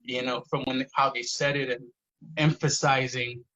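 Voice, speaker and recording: A man's voice: medium pitch, little pitch movement, moderate pace, sharp tone, rough recording. A man, a poet guest on a podcast, telling family stories earnestly, swearing freely and saying "you know" a lot. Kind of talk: casual podcast conversation.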